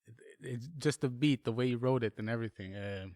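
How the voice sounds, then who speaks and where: A man's voice: mid-range voice, fast, darkish tone, no room sound, studio quality. A man hosting a podcast, chatting casually with slang and free swearing. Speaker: podcast host. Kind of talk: casual chat, interviewing.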